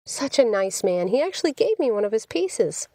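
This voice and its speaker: A woman voicing a sweet, chatty young woman who is easily exasperated, in an animated audiobook reading. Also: on the high side, normal speed, dry recording. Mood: chatty, delighted. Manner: animated, indignant when challenged.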